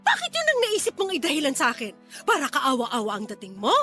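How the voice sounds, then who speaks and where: A woman's voice: sits high, huge pitch swings, quick pace, bright, forceful tone, soft background music, exaggerated voice. An actress with a Filipino accent playing a fed-up, fiery mother in an emphatic radio drama style. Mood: furious. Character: fed-up, fiery mother confronting her lying ex.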